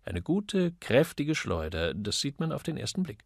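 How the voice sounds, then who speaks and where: A man voicing a gallant, courteous gentleman in an audiobook, casually inquisitive in manner. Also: medium pitch, sing-song, normal speed, dry recording, studio quality. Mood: gallant, probing.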